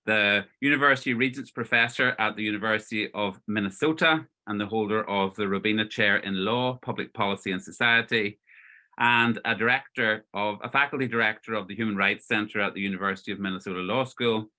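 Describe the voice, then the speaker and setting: A man hosts a conversation. His voice sits fairly low and has a bright, forceful edge. He talks conversationally, halting and regrouping as he frames things. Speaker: interviewer and event host. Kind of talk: introduction and interview questions.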